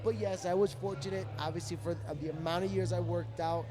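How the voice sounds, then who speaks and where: A man who is a dance guest, chatting casually and quickly with plenty of fillers, recorded outdoors at an event. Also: sits high, over music. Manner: quick, energetic, lots of fillers. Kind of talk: casual interview chat.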